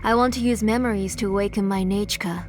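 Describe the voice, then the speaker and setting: A woman's voice: medium pitch, steady tone, quick pace, close to an everyday voice. A woman playing a grieving, obsessed mother in a dramatic video game scene, speaking in a solemn, confessional manner. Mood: resolute sorrow. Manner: solemn, confessional, trailing into hushed breaths. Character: grieving, obsessed mother, soft-spoken and resolute. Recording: game music behind voice.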